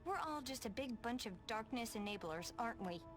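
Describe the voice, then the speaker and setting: A woman's voice: on the high side, lively intonation, brisk, music in the background, close to an everyday voice. An actress playing a sarcastic, cutting young woman in a video game, with a mocking, impatient manner. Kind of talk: video game drama acting.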